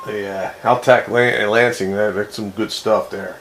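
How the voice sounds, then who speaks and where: A man's voice: lowish voice, steady tone, a little slow. A man in casual, laid-back conversation as a radio operator, heard over a noisy radio transmission. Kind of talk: casual chat. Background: clear radio noise.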